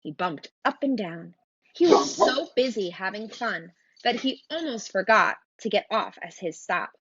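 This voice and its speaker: A woman reading a children's storybook aloud in an expressive narrating style. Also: medium pitch, a little slow, muffled recording, background noise. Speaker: storybook reader.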